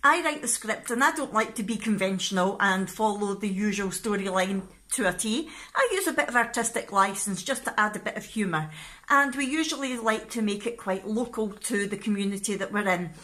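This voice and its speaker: Bright, high-voiced Scottish woman vlogging. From Scotland. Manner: chatty, informal. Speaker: vlogger and pantomime scriptwriter. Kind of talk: casual vlog talk to camera. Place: living room at home. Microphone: phone at arm's length.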